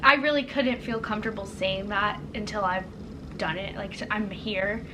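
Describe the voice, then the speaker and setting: A young woman from the United States chatting casually as a guest, relaxed and encouraging. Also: medium pitch, normal speed. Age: young adult. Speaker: exchange student guest. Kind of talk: casual chat. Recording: distant mic, background noise.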